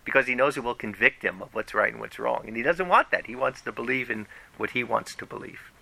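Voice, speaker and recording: A man preaching a sermon to his congregation in an animated, conversational way, often raising his voice for emphasis. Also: mid-range voice, quick pace, creaky, rough recording. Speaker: pastor.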